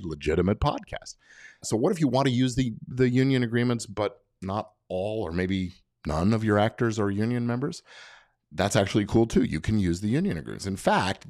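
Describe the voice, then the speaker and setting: A man's voice: low-pitched, a little mellow, no room sound, clean studio sound. A man hosting a podcast as a lawyer, explaining things in an informal, plain-spoken monologue.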